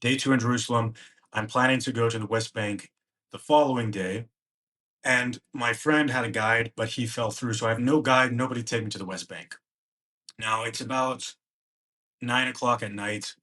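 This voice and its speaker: A man's voice: mid-range voice, steady tone, moderate pace, bright, forceful tone. A man, a podcast guest, recounting a personal travel story in present tense and acting out the dialogue he remembers. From United States.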